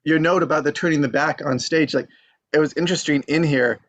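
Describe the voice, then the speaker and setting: A man's voice: fairly high pitch, brisk, fairly bright tone, no room sound. A man chatting casually in a group, rambling and informal in the way he talks. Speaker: table read participant.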